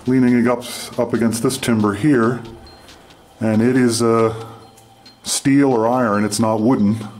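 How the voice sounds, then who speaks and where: A man from Canada giving casual, halting running commentary as he explores underground and describes what he sees. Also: on the low side, darkish tone, slight background noise. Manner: halting, unscripted descriptions of surroundings. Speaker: mine explorer and video host. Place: underground in an abandoned mine tunnel.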